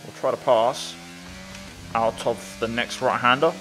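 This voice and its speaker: A man's voice: mid-range voice, unhurried, gravelly, fairly bright tone, faint music behind, low-quality recording. A man giving casual, running commentary as he plays a racing game. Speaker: gaming video creator.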